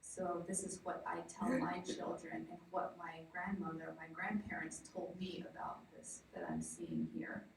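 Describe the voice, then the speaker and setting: A woman from the southwestern United States giving an informal, explanatory conference presentation as a project researcher, piecing her thoughts together with pauses. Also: lowish voice, animated. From Navajo Nation, southwestern United States. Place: large echoey indoor presentation room. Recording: very echoey room, distant mic, faint noise.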